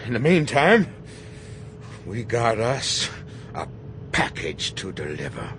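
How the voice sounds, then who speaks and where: An actor playing a folksy, baffled bomber pilot major with an American Southern drawl in a satirical radio play, given to bluster. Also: male voice, big pitch swings, slow pace, gravelly, full character voice. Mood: baffled, resigned. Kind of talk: satirical radio comedy drama. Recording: loud aircraft rumble behind.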